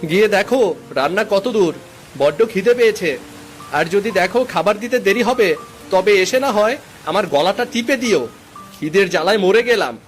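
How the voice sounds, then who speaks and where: A high, swooping voice for a spoiled young husband acted by a man in a cartoon, fussy and entitled. He grumbles and makes demands over a music bed. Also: animated, brisk. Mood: irritated complaining. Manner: complaining, entitled. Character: demanding, peevish young son-in-law, fussy about food. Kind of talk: Bengali cartoon dialogue. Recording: background music under the voice.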